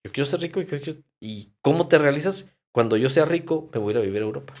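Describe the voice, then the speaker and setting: A man's voice: fairly high pitch, lively intonation, very fast, darkish tone. A Mexican man from Durango chatting casually on a podcast, emphatic and colloquial, fond of rhetorical questions and salty language. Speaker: podcast guest. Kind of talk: casual podcast conversation with anecdotes.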